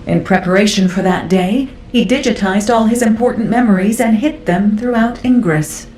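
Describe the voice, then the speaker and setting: A woman playing a curious, analytical scientist in a video game, with deliberate, thoughtful delivery. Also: medium pitch, a little mellow, lightly acted. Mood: measured explaining. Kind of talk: video game dialogue acting. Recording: roomy game audio, faint noise.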